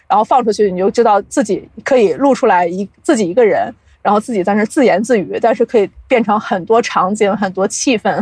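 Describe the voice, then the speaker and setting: Casting: woman, medium pitch, conversational interviewee who explains and gently mocks herself; dry, close recording. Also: no room sound. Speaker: podcast guest, audio drama producer.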